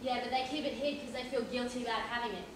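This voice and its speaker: Far-off echoey stage recording, noisy, woman as pompous male inspector, condescending, probing, high-pitched. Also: fairly even intonation, fast talker, close to an everyday voice. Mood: pompous, patronising. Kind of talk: amateur stage play acting. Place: large theatre stage, indoors. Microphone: far audience camera.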